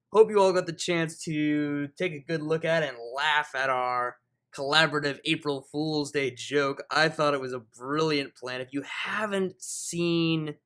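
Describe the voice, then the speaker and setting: A man's voice: on the high side, fairly bright tone. A man who reads fan fiction aloud and hosts his own channel. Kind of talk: dramatic scripted story narration, then casual outro. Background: music.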